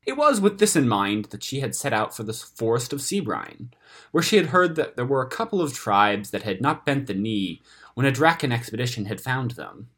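A man narrates an epic fantasy tale in a medium-pitched, slightly rough voice. His delivery is dramatic and expressive, with pitch that moves freely up and down as he reads from a script. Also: moderate pace. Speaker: story narrator reading own fantasy tale.

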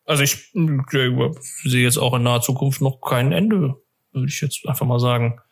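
Creaky-voiced man chatting on a podcast. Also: medium pitch, moderate pace. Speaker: podcast co-host. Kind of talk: casual podcast chat.

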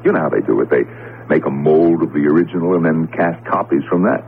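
An actor with an American accent playing an eager, earnest, absent-minded professor husband in a 1970s radio drama. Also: male voice, a bass voice, fast talker, vocal fry, phone-quality sound. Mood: sheepish. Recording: noisy, thin 1970s AM radio recording.

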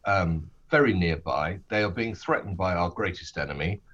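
A British man speaking as a politician in an interview, making his case in an earnest, measured way with hesitant phrasing. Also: deep voice, a bit bright, dull audio.

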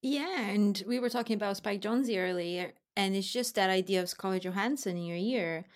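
Woman, podcast guest; mid-range voice, warm enthusiasm, easy laughter. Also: medium pitch, normal speed. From Brazil. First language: Portuguese. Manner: thoughtful, enthusiastic, laughs often. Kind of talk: casual podcast chat.

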